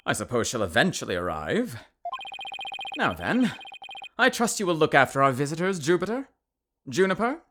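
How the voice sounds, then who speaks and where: A woman's voice: low-pitched, noisy background. A woman voicing a pompous older male school headmaster in a theatrical, condescending manner. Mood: patronizing.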